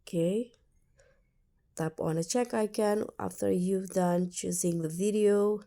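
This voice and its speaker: A woman's voice: mid-range voice, fairly even intonation, slow pace, dark tone. A woman hosting a tutorial, narrating an app walkthrough step by step. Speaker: tutorial host.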